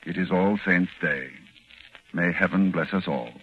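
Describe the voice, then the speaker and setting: An actor playing a grave, scholarly doctor who explains horrors solemnly and haltingly in 1940s radio acting. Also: male voice, low voice, narrow, tinny audio. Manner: hesitant, repeating phrases, solemn. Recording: band-limited 1945 radio recording.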